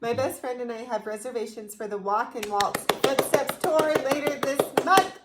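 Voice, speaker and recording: Bubbly young woman, higher voice. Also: moderate pace, reverberant, very noisy. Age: young adult. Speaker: co-host reading viewer questions. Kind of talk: casual chat. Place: small indoor room with banner backdrop.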